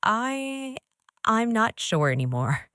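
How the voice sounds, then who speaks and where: An actress playing a gentle, uncertain young wife. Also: female voice, fairly high pitch, very animated, slow, smooth, no echo, studio quality, heavily acted. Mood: wistful.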